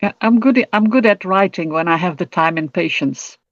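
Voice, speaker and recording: A woman in her sixties from Portugal, a retired teacher talking as a guest on a video call. She talks quickly, often stumbling and restarting. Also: on the low side, no echo, muffled recording. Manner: speedy talker, stumbles and restarts.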